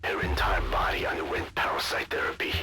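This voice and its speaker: A man playing a grave older scientist in a video game cutscene, speaking in a hushed, breathy rasp with clinical deliberation. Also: a little fast, whispered, close to an everyday voice. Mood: clinical, somber. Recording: music behind the voice.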